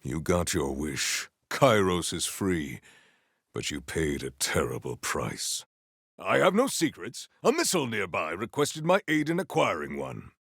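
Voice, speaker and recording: A man playing a solemn, proud warrior hunter in a video game, speaking in formal, stilted, declamatory sentences with a gravelly low voice. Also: big pitch swings, unhurried, a bit bright, dry recording, clean studio sound, heavily acted. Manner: formal, stilted, declamatory speech without contractions. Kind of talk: video game character acting.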